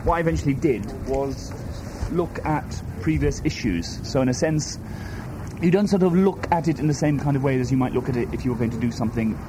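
A man from Wales, a native Welsh speaker, talks through his ideas in a hesitant, circling way, restarting phrases as he goes. His voice sits at an ordinary middle pitch for a man. A clear hum of outdoor noise runs behind him. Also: a little fast. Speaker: artist interviewee. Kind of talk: reflective interview answers.

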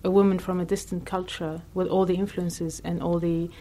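A woman from Lebanon, an artist answering interview questions in a reflective way, thinking aloud with many pauses and fillers. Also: fairly low pitch, little pitch movement, mellow.

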